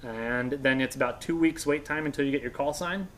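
A man's voice: mid-range voice, a little fast, fairly bright tone, rough recording. An American man in his thirties, explaining things informally as a knowledgeable guest, in a conversational way. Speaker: guest radio expert and instructor. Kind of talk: casual explanation. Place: indoors on a couch in a workshop room.

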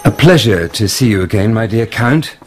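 An actor playing a guarded, officious middle-aged prosecutor in a radio drama, formal and evasive. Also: male voice, lowish voice, expressive, moderate pace, darkish tone, soft background music, natural voice.

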